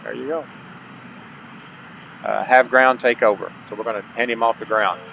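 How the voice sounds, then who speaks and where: A man's voice: medium pitch, normal speed, creaky. Dull-sounding man doing game tutorial commentary. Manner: casual, folksy asides to viewers. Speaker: host of a gaming channel. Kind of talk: gameplay tutorial commentary, partly reading on-screen instructions aloud. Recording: dull lo-fi mic, faint background noise.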